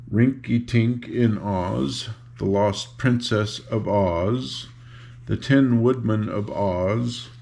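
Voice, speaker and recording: An older man reading a children's book aloud in an unhurried, informal, grandfatherly way, with a gravelly voice and casual asides. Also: lowish voice, darkish tone, slight background noise. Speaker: storybook reader and host.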